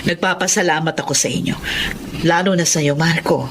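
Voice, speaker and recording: A woman's voice: low-pitched, fairly even intonation, moderate pace, noisy background, close to an everyday voice. An actress playing a trusting, gracious woman customer in a Filipino melodrama. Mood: grateful. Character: grateful, trusting woman customer of a gas station.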